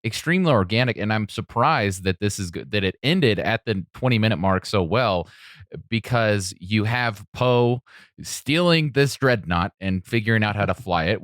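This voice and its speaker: A man's voice: lowish voice, fairly bright tone, no echo, clean studio sound. A man hosting a casual podcast discussion, speaking conversationally. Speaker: podcast host.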